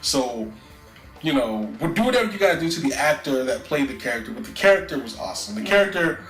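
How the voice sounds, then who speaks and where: A man's voice: fairly high pitch, sing-song, fast talker, a bit bright, reverberant, faint music behind, poor audio. A man co-hosting a casual podcast, talking loosely with frequent restarts and fillers. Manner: loose, rambling, frequent "you know" and restarts. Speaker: podcast co-host. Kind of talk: casual chat.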